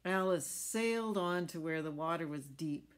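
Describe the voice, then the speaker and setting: A woman's voice: deep voice, normal speed. A middle-aged woman reading a picture book aloud to children, adding chatty explanations and little questions to her listeners. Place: small furnished room at home.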